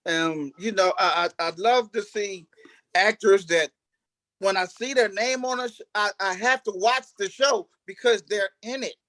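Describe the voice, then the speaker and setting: A man chatting casually as an interview guest, halting and repeating himself as he builds up to his point. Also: sits high, a bit bright, no room sound. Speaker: stage actor, interview guest. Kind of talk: casual chat.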